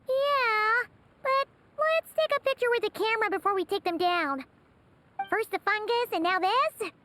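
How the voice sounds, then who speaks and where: A woman voicing a small, excitable, chatty fairy companion in a video game, with a squeaky childlike voice and an exclamatory manner. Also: a little hiss or hum, exaggerated voice. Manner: exclamatory, speaks of herself in third person. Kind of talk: animated video game voice acting.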